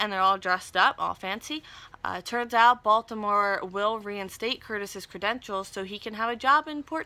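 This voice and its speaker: A woman's voice: mid-range voice, sharp tone, no room sound. A woman hosting a casual, chatty TV soap recap, with wry asides and slangy, conversational paraphrasing. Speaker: video recap host.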